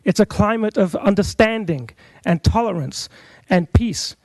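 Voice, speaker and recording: A middle-aged man who is a rabbi, giving an earnest, measured public comment at a council meeting podium microphone. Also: high voice, animated, darkish tone, dry recording. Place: council chamber.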